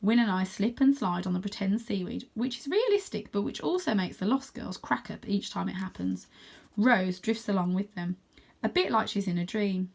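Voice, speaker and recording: A woman's voice: mid-range voice, lively intonation, normal speed, gravelly, darkish tone. A woman reading a children's storybook aloud expressively, with chatty asides to her listeners. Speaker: reader of children's stories.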